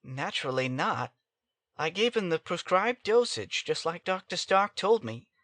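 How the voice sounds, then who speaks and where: A man's voice: sits high, very animated, a little slow, a bit bright, dry recording, full character voice. A man playing a nervous, defensive young doctor in a dramatic audiobook, hesitant and protesting. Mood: defensive, puzzled.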